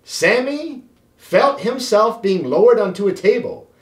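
A middle-aged man reads aloud to children in an expressive, theatrical way with deliberate phrasing. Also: high voice. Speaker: children's storybook reader. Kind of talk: animated read-aloud for children. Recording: roomy, echoing sound.

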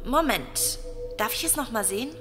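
A woman playing a curt, dismissive stranger who speaks slowly and dryly. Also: a little high, expressive, long reverb tail, music in the background, close to an everyday voice. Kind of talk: audio drama acting.

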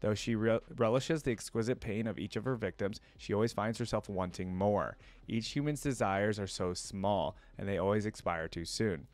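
A man's voice: on the low side, moderate pace, hardly any pauses, a little mellow, no echo. A young adult man reading a story aloud and adding casual commentary as a reaction video host. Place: indoor room at a computer desk.

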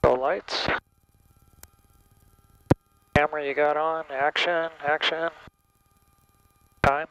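A man's voice: a little high, steady tone, takes it slowly, pauses often, clear, resonant tone, low-quality recording. A man working as a flight instructor in a cockpit, giving brief, patient instructions and radio calls through a headset. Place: small airplane cockpit in flight. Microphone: aviation headset. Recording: loud airplane engine noise.

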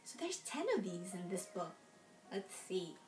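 A young adult woman reading aloud at a children's storytime, expressive and sing-song, with little asides to young listeners. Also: fairly low pitch, unhurried. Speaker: children's storytime reader in princess costume. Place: small room at home, indoors.

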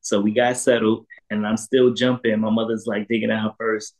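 A man in his thirties with a New York accent telling personal stories casually and haltingly, repeating phrases, as a guest on a video call. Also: lowish voice, flat delivery, a little mellow, poor audio. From Harlem, New York, United States. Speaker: interview guest, photographer. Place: indoor room at home, video call.

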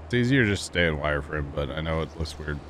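A relaxed man with a low voice hosts a gaming livestream from his home setup. He chats loosely and laughs often, with a faint hiss under the sound. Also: quick pace. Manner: laid-back, chatty, laughs often. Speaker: livestream host. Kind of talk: casual gaming stream chat.

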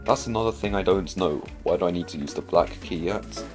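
A man's voice: deep voice, a little fast, a little mellow, soft background music. A man giving casual gameplay commentary as a video host, talking to himself and asking himself questions as he plays.

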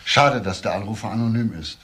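Deep-voiced, terse police inspector. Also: male voice, fairly quick, a bit bright, breathy edge. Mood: calm, probing. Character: calm, measured male police inspector. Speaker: police detective. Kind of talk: German crime radio drama acting.

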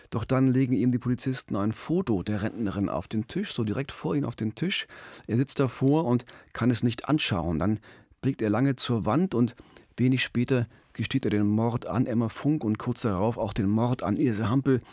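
A man's voice: medium pitch, normal speed, a little mellow, no room sound. A middle-aged man co-hosting a true-crime podcast, telling the story conversationally into a studio microphone. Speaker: podcast co-host. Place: radio studio. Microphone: studio microphone on boom arm with pop filter. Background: background music.

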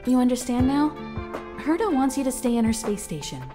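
A kindly, patient woman mentor in a video game. Her highish voice is composed yet very expressive in its rise and fall. Game music runs underneath. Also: brisk. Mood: wry. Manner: composed, gently reasoning. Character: poised, patient, kindly adult female mentor. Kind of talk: video game character dialogue.

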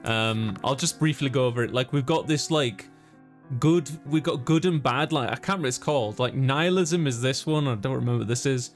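A man streams a game in a casual, rambling way, with a mid-pitched voice. He jokes as he goes and talks loosely to his viewers. Game music plays behind him. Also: a little fast. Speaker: let's play streamer. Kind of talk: casual gaming commentary.

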